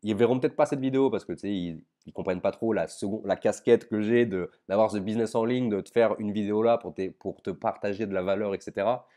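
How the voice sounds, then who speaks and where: Low, mellow-voiced man vlogging casually. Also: fast, hardly any pauses. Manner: informal, slangy, addresses viewer as tu. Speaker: online business vlogger. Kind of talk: casual direct-to-camera confession.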